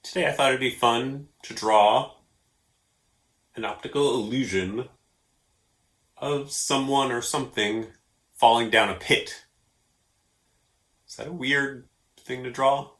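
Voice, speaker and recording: A man's voice: medium pitch, slow, long pauses, fairly bright tone. A man hosting a homemade drawing video for kids, talking casually and thinking aloud in an offhand way. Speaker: host of a children's storytime and drawing show.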